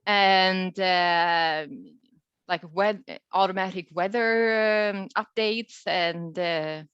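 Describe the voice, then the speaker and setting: A woman researcher answering questions in an informal webinar, speaking thoughtfully and hesitantly with pauses mid-sentence. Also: medium pitch, fairly even intonation, a bit bright, clear, resonant tone, no room sound. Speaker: researcher presenting a study as webinar guest. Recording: dull, lo-fi online call audio.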